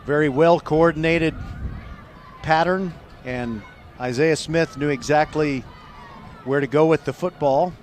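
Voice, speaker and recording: A man calling live high school football play by play, describing each play in detail. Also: unhurried, pauses often, a little hiss or hum. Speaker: play-by-play sports announcer.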